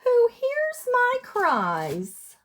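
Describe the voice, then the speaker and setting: An American woman reading a storybook aloud to young children as a teacher would, in an expressive sing-song way, asking them questions. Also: very high-pitched, very slow. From United States, lived in West Virginia.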